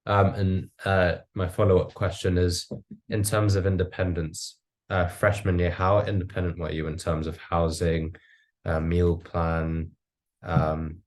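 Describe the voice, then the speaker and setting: A man's voice: deep voice, flat delivery, unhurried, a little mellow. A young man working through a semi-scripted interview as a student interviewer. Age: young adult.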